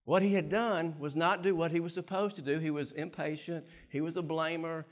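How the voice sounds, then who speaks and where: A middle-aged man preaching a sermon from a church stage on a lapel mic, animated and swinging between quiet and loud passages. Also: on the high side, brisk. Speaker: pastor.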